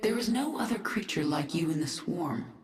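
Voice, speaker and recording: A woman's voice: on the low side, lively intonation, normal speed, lightly acted. An actress playing a cold, resolute swarm queen in a video game, authoritative and terse. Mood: cold, commanding. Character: commanding alien swarm queen, cold, resolute warrior woman. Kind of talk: video game character dialogue. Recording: echoing game audio with music.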